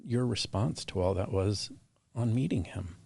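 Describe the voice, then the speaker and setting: A man with a very low, husky voice hosts a conversational interview. He sounds earnest and affirming, with plenty of gravelly creak in his empathetic questions. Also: measured pace, a little mellow. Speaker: podcast interview host.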